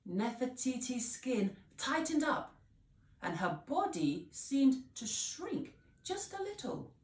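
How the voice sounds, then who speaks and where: Very slow, animated woman storyteller. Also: high voice. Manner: theatrical, deliberate word emphasis. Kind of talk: expressive children's read-aloud narration. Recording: roomy echo, distant microphone.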